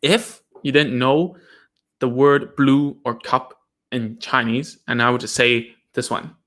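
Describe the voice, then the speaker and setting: A man's voice: mid-range voice, fairly even intonation, takes it slowly, a bit bright. A man, a native Cantonese speaker, giving a casual live lesson to parents and therapists, checking in with "okay?" and "right?" after almost every point.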